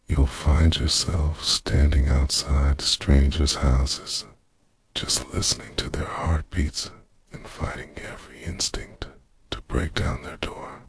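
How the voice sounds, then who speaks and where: An ancient, possessive vampire lover, acted by a man for an ASMR roleplay. His voice is extremely deep and gravelly, often slipping into a breathy whisper. He confesses slowly and intimately, seductive with a tender menace. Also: no room sound, full character voice. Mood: brooding, ominous. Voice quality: breathy half-whisper with gravelly low register.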